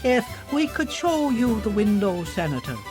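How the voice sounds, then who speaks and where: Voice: medium pitch, big pitch swings, normal speed, over music, voice pushed up, natural voice. A narrator voicing a kindly, anxious male parish priest in an audiobook thriller. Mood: courteous.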